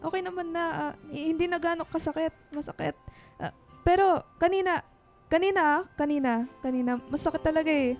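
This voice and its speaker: A woman's voice: very high-pitched, fairly quick, faint music behind. A woman playing a shy, smitten teenage girl in a radio drama, stammering and exclaiming as she fibs. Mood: flustered, nervous.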